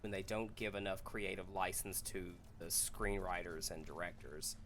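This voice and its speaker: Low-voiced, thoughtful man chatting. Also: little pitch movement, fairly bright tone. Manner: measured, hedging, thoughtful. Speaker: podcast co-host. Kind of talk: casual discussion of films and games.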